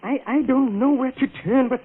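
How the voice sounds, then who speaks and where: An actor playing an anguished, self-loathing man guilty of murder, halting and melodramatic, in the style of 1940s radio horror. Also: extremely high pitch, breathy edge. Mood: frantic, pleading. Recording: tinny, noisy vintage radio recording.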